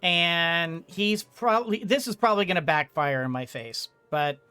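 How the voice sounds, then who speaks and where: A woman's voice: lowish voice, normal speed, bright, forceful tone, smooth. A woman giving casual let's play commentary, thinking aloud with hesitant phrasing as she goes. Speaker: gaming streamer.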